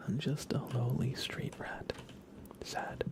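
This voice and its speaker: A man whispering softly and playfully close to the microphone, giving relaxed ASMR commentary while he games and chats with viewers. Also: mid-range voice, slow pace. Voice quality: soft, breathy whisper. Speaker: live streamer. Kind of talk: whispered ASMR game stream commentary with chat.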